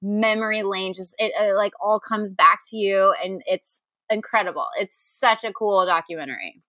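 A woman's voice: mid-range voice, moderate pace, pushed and bright, dry recording. A woman co-hosting a podcast in casual chat, talking in a gushing, enthusiastic way. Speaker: podcast co-host.

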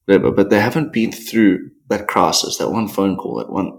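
A man talks in a fairly low voice, telling stories in an animated, rambling way. He speaks candidly as a podcast guest and acts out quotes as he goes. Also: moderate pace. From South Africa. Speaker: podcast guest, professional surfer. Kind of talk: candid interview conversation.

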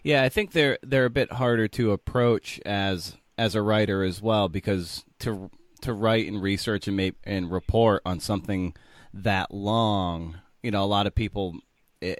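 Lowish-voiced man, dry close sound. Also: normal speed, no echo. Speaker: podcast host, interviewer. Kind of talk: casual interview conversation.

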